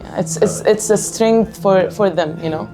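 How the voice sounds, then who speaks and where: A woman from Gaza with a Palestinian Arabic accent, a singer answering interview questions in earnest, halting English, often repeating words as she restarts. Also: mid-range voice, over music. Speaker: singer of a music band.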